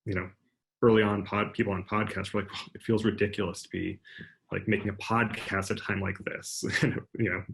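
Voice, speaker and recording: A man's voice: on the low side, flat delivery, a little fast, gravelly, a bit bright. A man speaking as an artist on an online panel, reflecting aloud in a conversational way. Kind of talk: reflective panel discussion talk. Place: online video panel during lockdown.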